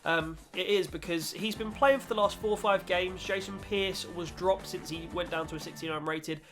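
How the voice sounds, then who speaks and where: A man's voice: high-pitched, steady tone, moderate pace, fairly bright tone, faint music behind. A man hosting a gaming video with casual, chatty football commentary in informal British slang, slightly nasal from a cold.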